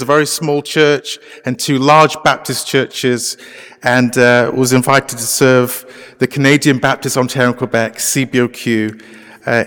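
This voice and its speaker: English pastor preaching in a church. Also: male voice, mid-range voice, big-room sound. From England. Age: middle-aged. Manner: warm conversational preaching with frequent uh fillers. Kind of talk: sermon. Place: church sanctuary.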